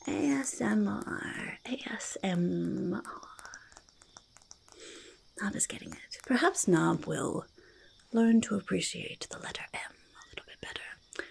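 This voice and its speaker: A woman voicing a small, curious, gentle goblin in an ASMR roleplay, whispered and soft-spoken, breathy and creaky, often repeating little phrases. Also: medium pitch, animated, takes it slowly, long pauses, full character voice. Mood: curious, proud. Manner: refers to self in third person, repeats phrases softly. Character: small curious goblin, gentle, eager, easily delighted hoarder.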